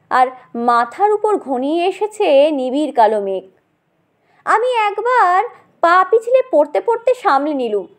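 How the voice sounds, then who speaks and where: A woman narrating a comic story in an expressive, dramatized reading style. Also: high-pitched.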